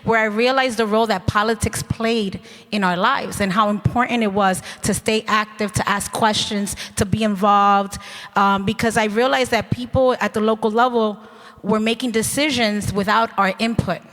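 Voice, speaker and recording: A woman state representative answering on a panel in an earnest, conversational way, often ending points with a quick "right?". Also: medium pitch, steady tone, a bit bright. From New York City. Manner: conversational, earnest, with tag questions. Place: conference hall panel. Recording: reverberant hall.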